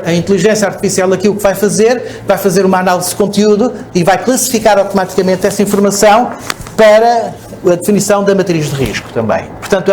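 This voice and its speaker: Man, european portuguese accent, high-ish voice, explanatory conference talk, faint noise behind. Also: darkish tone. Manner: explanatory, frequent "ok?" checks and restarts. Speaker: speaker at a conference. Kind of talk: conference presentation. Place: conference hall.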